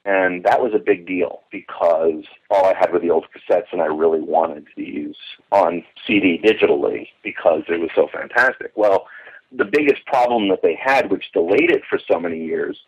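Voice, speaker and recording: A man's voice: low voice, breathy edge. A middle-aged man giving an enthusiastic, detailed, digressive account in a conversational interview, as a longtime fan reminiscing. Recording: dull lo-fi phone or call audio.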